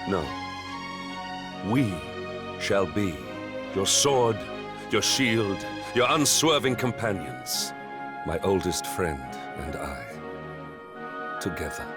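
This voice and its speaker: A loyal, regretful knight from a video game, with a very deep voice. He speaks slowly and gravely in formal phrases, with music clearly playing behind him. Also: expressive. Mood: solemn, devoted. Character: noble, solemn male knight, loyal and regretful. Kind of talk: dramatic video game voice acting.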